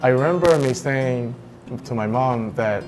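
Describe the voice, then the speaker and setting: Interview guest, 30s Korean man, NZ-British lilt, mid-pitched, halting, restarts, music underneath. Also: a little mellow, low-quality recording. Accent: mixed New Zealand and British English. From South Korea. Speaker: interview guest, business owner. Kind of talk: casual interview.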